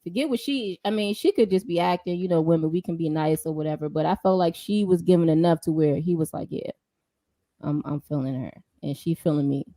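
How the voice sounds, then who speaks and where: A woman co-hosting a casual podcast, giving slow, drawling opinions on a dating show. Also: sits low, mellow, no echo. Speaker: podcast co-host. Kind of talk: casual reality-show discussion.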